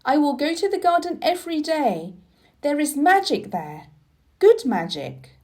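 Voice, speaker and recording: An imperious young storybook boy, played by a woman, earnest and determined. The voice sits very high and swings widely, declaring each line slowly and with emphasis. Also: very animated, a little mellow.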